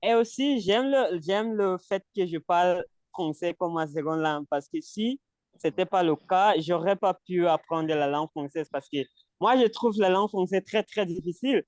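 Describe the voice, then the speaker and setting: A man from Cameroon whose first language is English leads a relaxed French practice session over a video call. His voice is smooth and fairly high for a man, and the sound is close and dry. He hesitates and repeats words, laughing lightly between phrases. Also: moderate pace, no room sound. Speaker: conversation group leader. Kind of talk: casual language practice chat.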